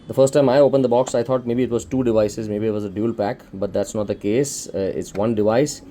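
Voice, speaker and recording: A man's voice: fairly low pitch, fairly even intonation, fairly quick, warm tone. A man with an Indian accent casually presenting an unboxing for a shop, explaining things informally step by step. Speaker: shop presenter demonstrating a product.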